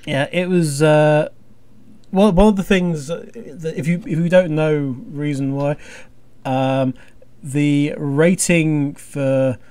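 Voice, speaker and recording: Man, higher-pitched, rambling podcast host. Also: fairly even intonation, slow, darkish tone, smooth. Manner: hesitant, rambling, dryly jokey. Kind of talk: casual commentary chat.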